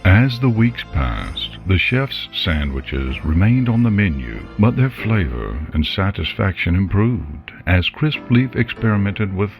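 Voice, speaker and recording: Deep gravelly guy doing slow ominous story narration, bit muffled, music behind him. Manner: ominous, measured. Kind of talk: dramatic scripted story narration. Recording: dull lo-fi sound, loud music behind.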